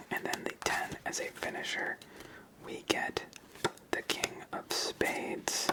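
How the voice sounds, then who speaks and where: A host whispering ASMR commentary while playing a solo card game, explaining each move step by step in an unvoiced whisper. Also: poor audio. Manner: slow, step-by-step narration of moves.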